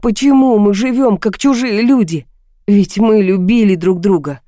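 A woman's voice: medium pitch, moderate pace, dark tone, no echo, studio quality, natural voice. A woman voicing a volatile, self-pitying husband in an audiobook drama, agitated, accusing and pleading. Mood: plaintive.

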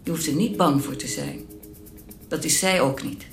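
A woman playing a calm, assured spiritual medium in a radio drama, speaking in a solemn, reassuring, measured way with a creaky voice. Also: low voice, over music. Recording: echoey room with faint noise.

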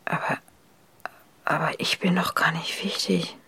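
An actress playing a frail, frightened young woman recovering from illness in a radio play, hushed and halting. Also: low voice, steady tone, vocal fry, husky, whispered, heavily acted. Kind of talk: radio drama acting.

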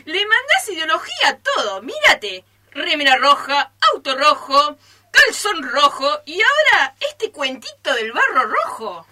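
A woman's voice: high voice, wildly swooping pitch, measured pace, sharp tone, exaggerated voice. A woman with an Argentine accent acting an impatient, mocking friend in amateur radio theatre, insistent and needling.

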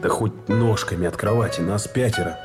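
A man's voice: on the low side, normal speed, natural voice. An actor playing a helpless, desperate bedridden captive in a radio drama, insistent in his delivery. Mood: urgent. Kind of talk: Russian radio drama acting. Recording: loud piano music behind the voice.

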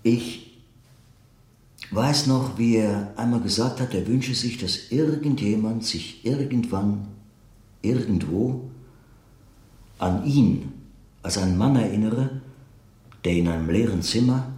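A man's voice: lowish voice, slow, long pauses, a little mellow, reverberant. An actor playing a reflective man in a radio play, searching hesitantly and earnestly for words as he remembers a friend. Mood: reflective, recollecting. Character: reflective man recalling an enigmatic late writer friend. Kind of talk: naturalistic German radio play acting, interview-like monologue.

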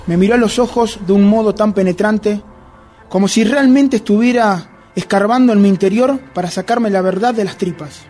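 A man with an Argentine accent acting a brooding, obsessively jealous narrator in a radio drama, speaking deliberately and introspectively. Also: sits high, dark tone, faint music behind, close to an everyday voice. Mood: tense, confessional. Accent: Rioplatense Argentine Spanish. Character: obsessive jealous man confessing his secret pursuit of a rival.

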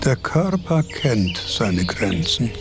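An actor playing a fussy, dry philosopher in a German radio play, speaking formally and deliberately in a husky voice. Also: male voice, mid-range voice, big pitch swings, noisy background, heavily acted. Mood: musing.

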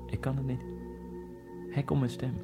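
A man narrating a radio drama in the first person, in a halting, fragmented inner monologue with a gravelly voice. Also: medium pitch, monotone. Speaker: narrator and main character. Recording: radio drama with faint music.

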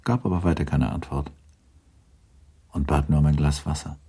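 A man narrating a literary radio play in a grave, measured, restrained manner. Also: extremely low pitch, fairly even intonation, gravelly, dark tone, husky, full character voice. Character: grave, restrained narrator of a 19th-century novel.

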